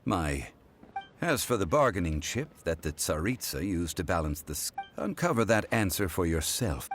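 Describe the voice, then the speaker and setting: Ancient god for a game: very low, expressive, formal and measured, faint noise behind. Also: male voice. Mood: solemn, measured. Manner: formal, deliberate phrasing. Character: calm ancient male god in human form, dignified, measured. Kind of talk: video game story dialogue.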